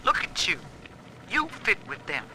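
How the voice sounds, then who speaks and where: Plaintive, expressive, out-of-place singer. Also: female voice, medium pitch, measured pace, a little hiss or hum, full character voice, low-quality recording. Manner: earnest, plaintive confiding. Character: wounded, out-of-place singer longing for family. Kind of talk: dramatic video game audio diary.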